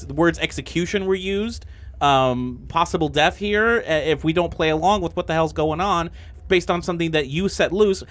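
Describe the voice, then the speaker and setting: A man's voice: fairly high pitch, fairly bright tone, natural voice. A man playing an agitated, sarcastic man trapped inside a computer, flustered and stammering in improvised tabletop roleplay. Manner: flustered, stammering, repeats words, exclaims.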